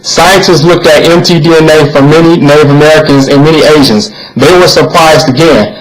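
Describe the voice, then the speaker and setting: Male narrator with a higher-pitched voice reads text aloud plainly and earnestly, with the odd slip and repeat. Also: steady tone, brisk, low-quality recording. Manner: plain, earnest reading with occasional stumbles and repeats. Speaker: video narrator. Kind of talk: reading text aloud over images.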